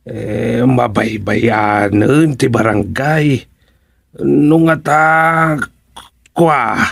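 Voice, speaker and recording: A man with an Ilocano accent playing a scheming, hesitant village councilor in a radio comedy drama, hemming and evasive in a husky, gravelly voice. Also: medium pitch, extremely slow, no room sound, studio quality, full character voice. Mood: sly, hinting. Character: scheming, hesitant male village councilor angling for higher office.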